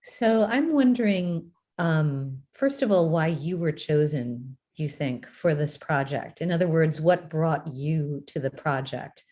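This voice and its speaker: Low-pitched female moderator; thoughtful, roundabout questioning. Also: unhurried, hardly any pauses, dark tone. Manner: long winding questions, rephrasing with "in other words". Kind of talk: conversational panel interview.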